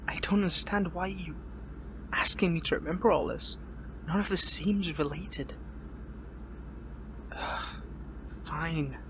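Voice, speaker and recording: A man playing a wounded young captive hero in an audio roleplay, wry and defiant, speaking haltingly with a pained, weary strain. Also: fairly high pitch, background noise, natural voice. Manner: halting, sardonic, stammering. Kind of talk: dramatic audio roleplay, one-sided dialogue.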